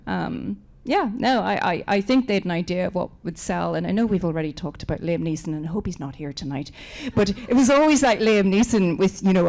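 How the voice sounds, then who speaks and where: A woman's voice: on the low side, vocal fry, darkish tone. A woman author with a Northern Irish accent, chatting casually on a live panel with wry, self-mocking humor.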